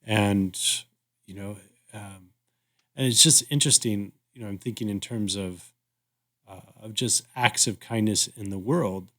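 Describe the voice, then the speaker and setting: A man co-hosting a reflective conversation, speaking thoughtfully and haltingly as he searches for words. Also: on the low side, a bit bright. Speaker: podcast host.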